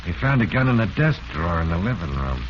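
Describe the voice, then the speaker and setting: Gruff needling old-radio cop, really low voice, muffled noisy recording. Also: male voice, sing-song, fast. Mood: sardonic, accusing. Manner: curt, slangy, needling. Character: gruff, sardonic police homicide lieutenant. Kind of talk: 1940s radio acting.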